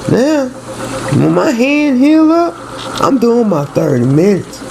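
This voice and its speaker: Man, slow delivery, creaky voice, slangy repetitive casual monologue, noisy recording. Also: very high-pitched, very animated. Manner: repetitive, slangy, restarting phrases. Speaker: narrator and storyteller. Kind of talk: rambling casual spoken monologue.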